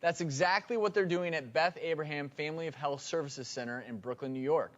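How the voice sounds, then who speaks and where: A man's voice: on the high side, brisk, dull audio, heavy background noise. A man presenting an upbeat TV talk show as its host. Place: TV studio stage.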